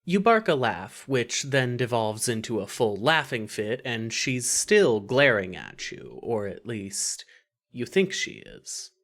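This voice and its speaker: A woman reading scripted second-person fan fiction narration in an expressive, wry way. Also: rumbling low, slow pace.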